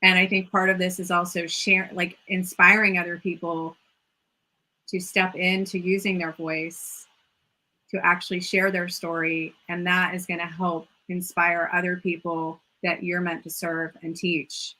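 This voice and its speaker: Bright, eager woman host. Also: lowish voice, flat delivery, unhurried, rough recording. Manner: enthusiastic, repeats phrases, many "like" fillers. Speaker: host and yoga mentor. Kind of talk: casual video-call interview.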